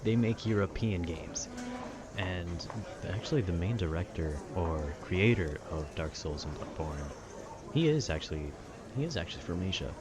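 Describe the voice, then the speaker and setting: A man's voice: sits low, animated, moderate pace, noisy background. A man rambling casually and conversationally about video games in a nerdy, meandering way. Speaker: content creator.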